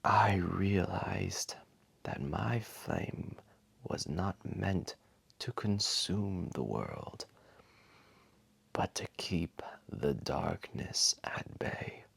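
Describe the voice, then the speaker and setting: A man voicing an ancient, massive dragon, wise, gentle and remorseful, telling a solemn story to one listener in a gravelly, breathy half-whisper. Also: deep voice, animated, exaggerated voice. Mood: humbled, resolved. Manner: solemn, deliberate, tender. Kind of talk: slow dramatic storytelling to a single listener.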